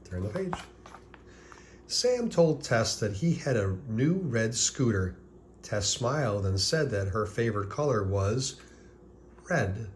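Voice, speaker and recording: A man reading a children's picture book aloud as scripted narration, deliberately, with pauses before key words. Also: medium pitch, lively intonation, a little hiss or hum.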